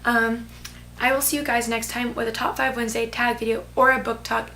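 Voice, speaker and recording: Hoarse young woman gushing about a book. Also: on the high side, little pitch movement, brisk, bright, forceful tone, a little hiss or hum. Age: teens. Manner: gushing, rambling with many "like"s. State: hoarse, losing her voice. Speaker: book vlogger. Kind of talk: casual book review.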